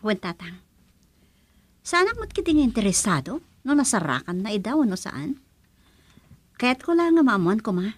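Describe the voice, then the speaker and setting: A woman's voice: medium pitch, big pitch swings, normal speed, long pauses, no room sound. A woman playing a dutiful, anxious young daughter in a radio drama, with an Ilocano Filipino accent. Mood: worried.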